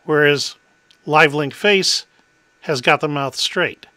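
A middle-aged man hosting a tech demo, explaining in a clear, methodical way. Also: on the high side, takes it slowly, sharp tone, no room sound. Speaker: host.

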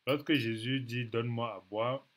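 A man in his thirties, sick with a cold and clearing his throat, teaching from the Bible to a webcam in a small room at home, repeating phrases slowly for emphasis. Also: mid-range voice, fairly even intonation, a bit bright. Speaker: preacher.

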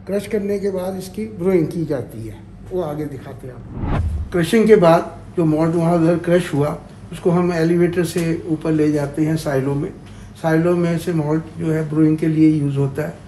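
A man's voice: a little high, moderate pace, warm tone, a little hiss or hum. An older man, the production head of a brewery, walking through the brewing steps and mixing English technical terms into his talk. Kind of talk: technical walkthrough. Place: brewery floor indoors. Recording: echoey factory hall.

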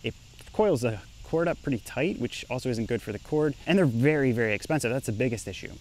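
A man's voice: medium pitch, expressive, quick pace, a little mellow, rough recording. A young man, an engineer, casually explaining to camera while standing outdoors on a residential street. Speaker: engineer and startup founder.

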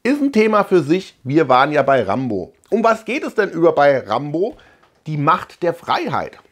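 A man's voice: a little high, big pitch swings, normal speed. A man in his forties from Germany, hosting a video in a casual, conversational way with musing asides. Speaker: host of a retro film and cartoon collecting channel. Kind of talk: casual chat to camera. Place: small room lined with shelves, indoors.

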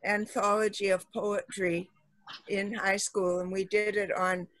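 A woman chatting on a video call who wanders between memories, stopping and restarting often. Her voice is mid-pitched, and the sound is dry and close. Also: level tone, measured pace, dry recording, rough recording. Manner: rambling, many fillers and restarts, warm recommendations. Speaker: participant. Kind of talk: casual chat on a video call.

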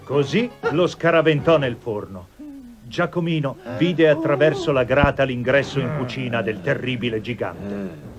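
A man's voice: medium pitch, normal speed. A man reading scripted storybook narration for a cartoon fairy tale. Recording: dull lo-fi TV audio, music behind.

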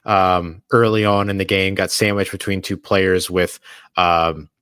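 A man's voice: low voice, little pitch movement, unhurried, fairly bright tone, clean tone, no echo, clean studio sound. A man co-hosting a sports podcast, explaining his points earnestly and step by step. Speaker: podcast co-host. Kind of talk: sports analysis chat. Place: hotel or studio room away from home.

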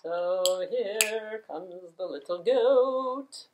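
A woman's voice: medium pitch, drawn-out words, a little mellow, soft background music. A middle-aged woman telling a puppet-show story, talking conversationally to children. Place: small room indoors.

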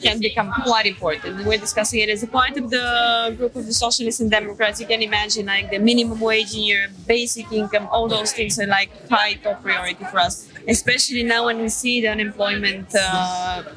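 A woman's voice: medium pitch, fairly even intonation, brisk, hardly any pauses, sharp tone, rough recording. A woman from a political group giving a fluent, policy-focused interview answer outdoors at a large event. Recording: crowd chatter behind.

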